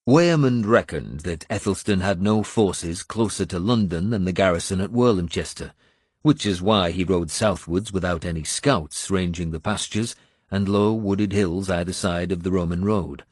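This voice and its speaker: A man narrating first-person historical fiction as a scripted audiobook, with measured, dramatic storytelling. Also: low-pitched, darkish tone, husky, dry recording, studio quality.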